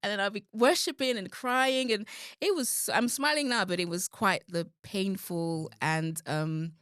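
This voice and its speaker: A woman's voice: mid-range voice, measured pace, a bit bright, clean tone, no echo, clean studio sound. A woman guest on an interview show, a gospel singer talking earnestly and reflectively about her music and faith. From London. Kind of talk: casual interview talk.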